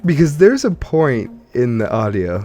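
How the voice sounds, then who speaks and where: A man's voice: medium pitch, big pitch swings, measured pace, dark tone. A man hosting a casual gaming podcast, chatting while congested from allergies. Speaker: podcast host.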